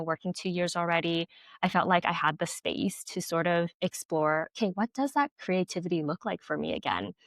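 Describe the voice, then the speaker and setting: Guest: young Canadian woman, low-ish voice, dry close mic, chatty and easygoing. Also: dry recording. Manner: chatty, laughs often, lots of "like" and "honestly". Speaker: podcast guest, content creator. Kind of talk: casual conversational interview.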